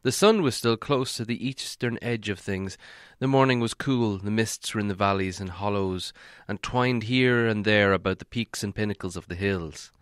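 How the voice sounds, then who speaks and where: A man narrating an audiobook in a measured storytelling way, softening on descriptive passages. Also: fairly low pitch, clear, resonant tone, dry recording, clean studio sound. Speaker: audiobook reader.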